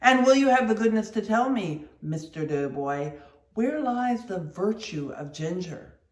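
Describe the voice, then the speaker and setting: A reader voicing a boisterous, mocking whaling mate who rants loudly in indignation. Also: mid-range voice, expressive, measured pace, roomy sound, natural voice. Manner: loud, mocking, indignant outbursts. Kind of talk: audiobook character reading.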